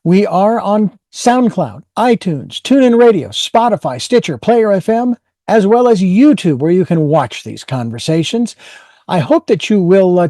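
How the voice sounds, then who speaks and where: A male show host with a somewhat high but mellow, soft-edged voice. He rambles a little, restarting sentences and filling gaps with "uh". The sound is close and dry, with no room around it. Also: no echo. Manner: rambling with many fillers and restarts. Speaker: podcast host. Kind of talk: hosting an interview show.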